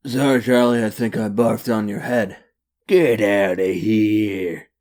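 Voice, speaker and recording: A man's voice: on the low side, fairly even intonation, slow pace, a little mellow. A man playing a joking, chummy vagrant drinking with his pals in a comic dub, with folksy, slangy banter. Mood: jovial, teasing.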